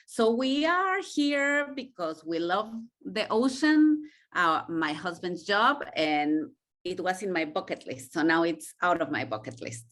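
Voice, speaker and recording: Woman with a Mexican-Israeli accent, lowish voice. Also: sing-song, a little slow, a bit bright. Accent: Mexican Spanish mixed with Israeli. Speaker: podcast guest, intercultural coach. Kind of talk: conversational interview.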